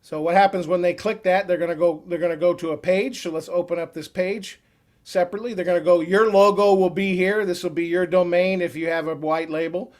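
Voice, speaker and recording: Upbeat man leading a software webinar. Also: sits high, steady tone. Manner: upbeat salesy walkthrough with quick asides. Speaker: software trainer. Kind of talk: screen-share training webinar.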